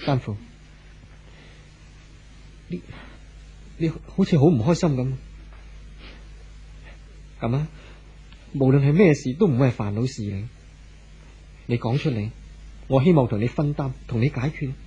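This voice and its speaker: An actor playing an anxious, devoted husband in a 1970s Hong Kong Cantonese radio drama, earnest and coaxing. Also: male voice, medium pitch, unhurried, long pauses, mellow, husky. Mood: apologetic, coaxing. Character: anxious, pleading, devoted wealthy young husband. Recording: dull vintage radio recording with music.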